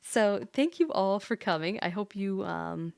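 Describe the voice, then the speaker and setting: Sleepy woman streamer, slow and roomy. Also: mid-range voice, animated, gravelly, darkish tone, clean tone, clean studio sound. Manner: sleepy, rambling. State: tipsy from wine. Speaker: streamer hosting a livestream. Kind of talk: casual chat between game read-alouds. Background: faint background music.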